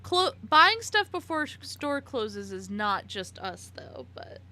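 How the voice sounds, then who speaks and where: A woman's voice: fairly high pitch, very animated, slow, sharp tone. A woman in her late twenties chatting casually on a live stream while she plays, giggly and talkative, answering her chat by name. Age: 20s.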